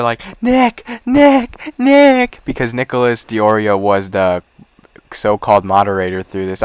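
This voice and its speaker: A man's voice: fairly low pitch, smooth, no echo. A man giving casual, exasperated commentary on online drama, swearing and rambling through asides.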